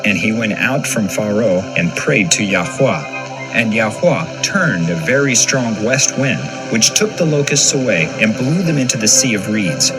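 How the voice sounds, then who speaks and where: Low-voiced man narrating scripture. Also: expressive, measured pace, over music, low-quality recording.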